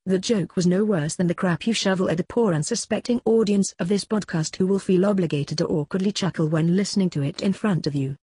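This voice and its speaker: A man's voice: high-pitched, fairly quick, hardly any pauses, dark tone, slightly rough, no room sound, clean studio sound. A male synthetic voice playing an officious AI assistant, formal, sardonic and pedantic, in a scripted comedy podcast. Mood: officious, scolding. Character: officious AI studio assistant issuing petty fines.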